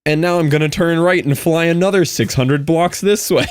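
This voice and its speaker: A man's voice: on the high side, normal speed, clean tone, no room sound. A young man casually chatting with his live-stream audience while playing, reading out and answering chat messages.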